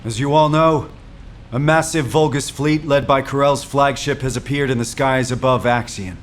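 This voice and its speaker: An actor as a stern male military commander from a science fiction game. He speaks formally and with measured authority at a middle pitch, over faint background noise. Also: natural voice. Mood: grave. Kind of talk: formal scripted briefing.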